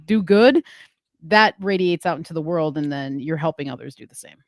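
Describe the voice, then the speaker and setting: A woman's voice: low voice, lively intonation, moderate pace, no room sound, clean studio sound. A woman hosting a podcast, talking casually with lots of ums and likes. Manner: rambling, many ums and likes. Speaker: podcast host. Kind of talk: casual spiritual podcast chat.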